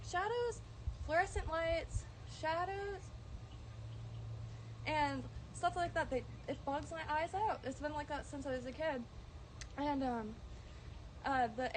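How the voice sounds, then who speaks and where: A woman's voice: high-pitched, a little hiss or hum, poor audio. A woman from Florida, chatting loosely in a garden on a live stream, full of ums and restarts and the odd swear. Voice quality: droning at times. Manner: meandering, many ums and restarts, casual swearing. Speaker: plant vlogger streaming live. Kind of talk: casual rambling livestream chat. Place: outdoors in a garden.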